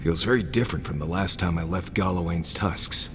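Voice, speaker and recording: An actor playing a guarded but loyal scout in a video game, speaking in a dry, understated, sardonic way. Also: male voice, deep voice, fairly quick, over music, close to an everyday voice. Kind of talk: video game dialogue acting.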